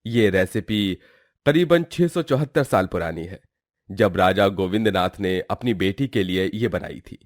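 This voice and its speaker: A man's voice: deep voice, lively intonation, measured pace, dry recording, studio quality. A man playing a humble, respectful village cook and devoted brother in a dramatized moral story. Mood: instructive.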